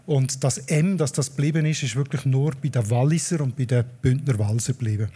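A man's voice: mid-range voice, fairly quick, mellow, husky. A man with a Swiss German accent, a dialect expert explaining word origins in a radio conversation. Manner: explanatory, lecturing on word history.

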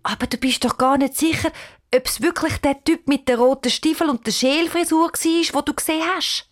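A woman's voice: high-pitched, normal speed, pitched above the performer's own voice, lightly acted. A woman voicing a cautious, worried young girl in a children's story, with an anxious, unsure manner. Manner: hesitant, anxious.

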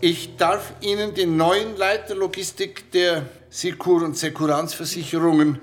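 An actor playing a company official who makes a formal, ceremonious announcement of new staff in a radio play. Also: male voice, high voice, fairly even intonation, unhurried, soft background music.

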